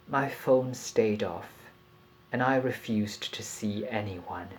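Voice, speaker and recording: A man reading a passage from a novel aloud in a measured, deliberate and expressive storytelling manner. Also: lowish voice, a little hiss or hum.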